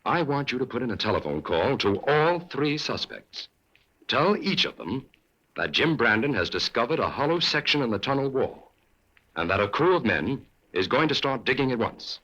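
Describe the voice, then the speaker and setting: An actor playing a brisk, determined male crime-fighting investigator in a 1940s radio drama. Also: mid-range voice, big pitch swings, bright, forceful tone, muffled recording. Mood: explaining. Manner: brisk, urgent, commanding.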